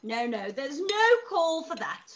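A woman playing a fussy, scheming, excitable middle-aged mother in a theatrical radio-play style, on a video call. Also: high-pitched, unhurried, lo-fi sound, full character voice. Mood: dismissive. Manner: theatrical, exclamatory. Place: room at home, on a video call.

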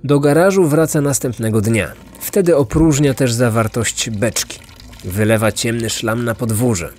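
A man's voice: medium pitch, a little slow, a little mellow. Man narrating true crime over music. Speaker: podcast narrator.

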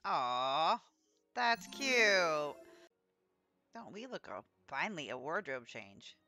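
A woman's voice: deep voice, big pitch swings, slow pace, bright, forceful tone, faint music behind. A woman giving casual playthrough commentary over a video game. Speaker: gamer commenting on gameplay.

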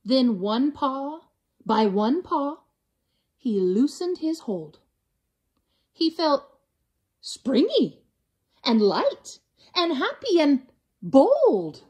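A woman reading a rhyming storybook aloud to children in an expressive, theatrical, drawn-out way. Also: high voice.

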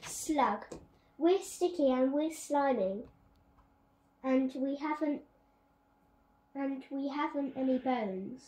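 A woman's voice: high-pitched, lively intonation, takes it slowly, pauses often, warm tone. A young girl reading a children's picture book aloud on her bed, with chatty asides. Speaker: young reader. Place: bedroom, on a bed. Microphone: phone camera on a stand. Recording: echoey room.

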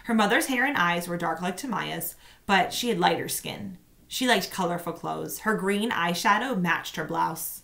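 A woman in her thirties with a slightly low voice reads a story aloud for children. She narrates expressively, like a teacher, recorded indoors at a computer in a small room. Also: normal speed, vocal fry. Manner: expressive, acts out dialogue.

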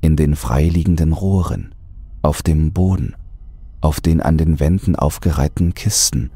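A man's voice: extremely low pitch, measured pace, dark tone, husky, dry recording. A man reading a scripted horror story as an audiobook narrator.

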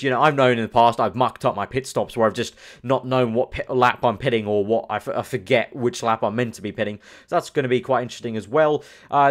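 Young uk guy rambling over a game menu, quick talker, lots of ums, desk mic. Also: mid-range voice. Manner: rambling, chatty, thinks aloud with fillers. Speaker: gaming channel host. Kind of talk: casual commentary over screen.

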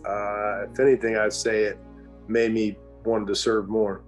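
A man's voice: fairly low pitch, flat delivery, a little slow, faint music behind. A man in his forties, a Navy senior chief, speaking plainly and with some hesitation on a webcam from a room at home. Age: 40s. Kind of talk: recorded testimonial.

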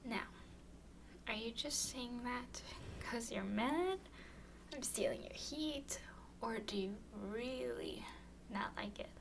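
A woman playing a tall, clingy, playfully teasing young woman smitten with her friend, in an intimate, softly whispered ASMR roleplay. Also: fairly high pitch, slow, pauses often. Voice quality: soft, breathy whispering. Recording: faint background noise.

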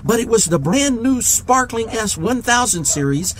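An actor in an audio drama playing an eccentric, eager genius mechanic in a wheelchair, wordy and precise. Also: male voice, very high-pitched, animated, moderate pace, a little hiss or hum. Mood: proud, eager.

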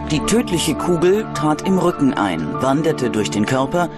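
A man's voice: a little high, very animated, moderate pace. A man reads scripted true-crime documentary narration as the narrator. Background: prominent background music.

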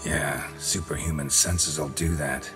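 Super low stoic monster hunter, fantasy game, terse n deadpan, bit of music under it. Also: male voice, measured pace. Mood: dry. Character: stoic, world-weary male monster hunter. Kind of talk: fantasy video game acting.

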